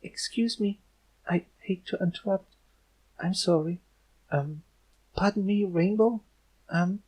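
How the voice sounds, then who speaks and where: A man voicing a timid, apologetic young female cartoon pony, very soft and hesitant. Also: sits high, slow pace, husky, pitched above the performer's own voice, heavily acted, low-quality recording. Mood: meek pleading. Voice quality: very soft, barely audible. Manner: hesitant, meek.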